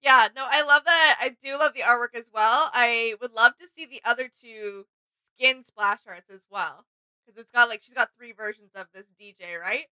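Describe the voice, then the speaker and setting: A young woman speaks in a bright, crisp-edged voice that sits a little high. Her delivery is casual and agreeable, the relaxed chat of a reaction video co-host. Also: normal speed, low-quality recording. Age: young adult. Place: small indoor room with gaming setup.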